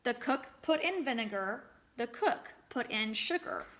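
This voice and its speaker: A woman reading a storybook aloud as a teacher, expressive and pausing to address young listeners. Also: fairly high pitch. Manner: expressive, acts out sound words.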